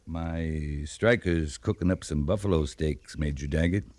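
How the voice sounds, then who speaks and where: An American man playing a laconic, seasoned cavalry captain in a 1950s radio western, talking deliberately with pauses between phrases. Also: very deep voice, darkish tone, no room sound. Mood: easygoing. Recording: old 1950s radio recording.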